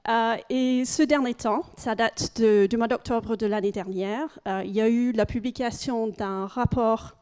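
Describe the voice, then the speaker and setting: A woman's voice: on the high side, fairly even intonation, a little slow, few pauses, darkish tone, smooth. Woman with an English-speaking accent presenting. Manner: hesitant, frequent fillers and restarts. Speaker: conference speaker. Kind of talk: conference presentation with slides.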